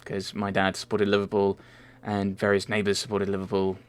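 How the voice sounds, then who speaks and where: A man's voice: fairly low pitch, monotone, brisk. A young man from Merseyside answering viewer questions in a casual, rambling voice-over, with many ums and self-corrections. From Merseyside, England. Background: faint game music.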